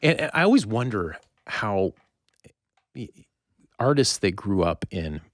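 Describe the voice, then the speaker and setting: A middle-aged man hosting a casual podcast interview, speaking haltingly as he searches for words. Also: lowish voice, big pitch swings, dry recording. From Windsor-Detroit area. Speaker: podcast host interviewing a guest.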